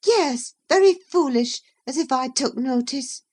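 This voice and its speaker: A woman reader voicing a petulant, irritable young woman who sounds high-pitched and demanding. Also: very animated, measured pace, darkish tone. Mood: peevish.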